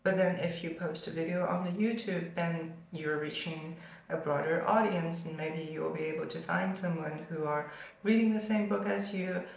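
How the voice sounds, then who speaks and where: A woman's voice: fairly low pitch, steady tone, moderate pace, creaky. A young woman whose first language is Chinese, chatting casually about books in a vlog and filling her sentences with fillers and restarts. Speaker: booktuber. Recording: very echoey room, far from the mic.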